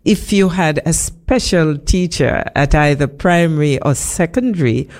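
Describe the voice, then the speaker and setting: A woman's voice: low-pitched, a little slow, darkish tone. A woman interviewing a guest, steering the talk back to his early years. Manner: guiding, steering the conversation. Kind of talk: interview questions.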